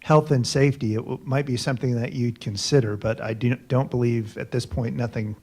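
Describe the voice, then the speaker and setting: A man's voice: medium pitch, fairly even intonation, a little fast, a little mellow, slightly rough. A man at a county hearing who answers and asks questions carefully, taking pains to word things precisely. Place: county board meeting room.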